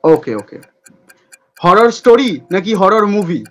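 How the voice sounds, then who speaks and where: A man hosting a playful quick-fire question segment in a chatty interview style. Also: high voice, sing-song.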